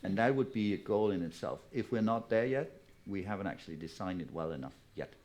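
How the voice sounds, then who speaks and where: A man speaking on a conference panel in a measured way, carefully qualifying his arguments. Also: sits low, lively intonation, darkish tone. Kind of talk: panel discussion. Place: conference breakout room.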